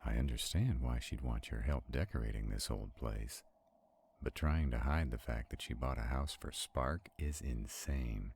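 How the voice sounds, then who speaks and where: Man acting very deep gravelly arrogant vampire. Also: normal speed, a little mellow, heavily acted. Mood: dry, wry. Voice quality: gravelly, husky. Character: arrogant centuries-old male vampire, protective, skeptical. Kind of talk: roleplay audio drama.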